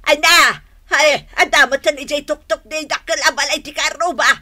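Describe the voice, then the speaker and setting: A woman's voice: high-pitched, steady tone, moderate pace, pushed and bright. A woman acting a fretful, doting mother who wails after her pet cat, with an Ilocano accent in a radio drama. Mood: panicked, wailing. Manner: wailing exclamations.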